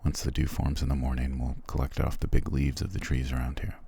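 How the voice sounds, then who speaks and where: A man playing a cruel, proud, weary soldier prince in an ASMR fantasy roleplay, curt and condescending, with a gravelly, husky voice. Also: extremely low pitch, level tone, fast, dark tone, exaggerated voice. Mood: weary, dry. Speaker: voice actor in audio roleplay.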